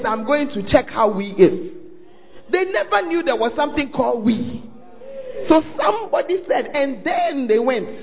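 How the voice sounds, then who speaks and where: A Ghanaian man preaching in a very high, animated voice, swinging his pitch up and down as he calls out to the congregation. His voice rings through a big church hall with a long echo, and the sound is a little muffled. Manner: calls out to the audience, prods them to respond. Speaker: pastor. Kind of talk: lively sermon to a congregation.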